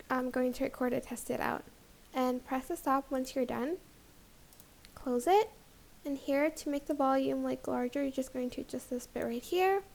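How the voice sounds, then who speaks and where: A young woman hosting a tutorial, narrating casually in a slightly halting way. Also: sits high, creaky. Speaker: tutorial host.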